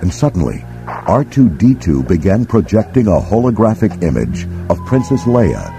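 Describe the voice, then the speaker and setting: A man's voice: fairly low pitch, very animated, moderate pace, music in the background. A man narrating a dramatic scripted storybook as its narrator.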